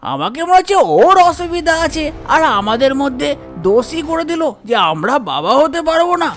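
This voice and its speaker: A woman's voice: high-pitched, very animated, normal speed, faint music behind. A woman voicing resentful, scheming jilted village men in a cartoon story. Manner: grumbling. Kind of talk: cartoon folk tale character voice.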